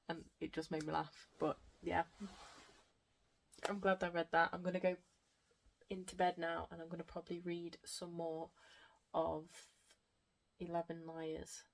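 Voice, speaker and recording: Soft, flat-voiced woman with a croaky throat. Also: lowish voice, poor audio. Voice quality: soft, unhurried, with frequent "um" and "like" fillers. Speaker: book vlogger. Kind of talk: casual reading vlog chat.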